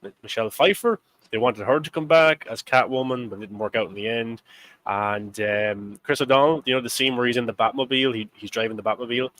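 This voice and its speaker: A man's voice: mid-range voice, fast, a bit bright, dry recording. Rambling young man hosting a movie chat. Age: 20s. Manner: rambling, filler-heavy, laughs often. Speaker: host. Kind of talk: casual movie discussion.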